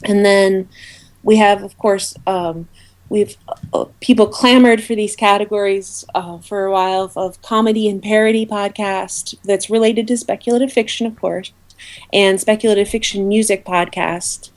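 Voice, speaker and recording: A woman speaking as an interview guest, explaining things informally in a halting way with many ums. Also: medium pitch, fairly even intonation. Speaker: interview guest, awards organiser.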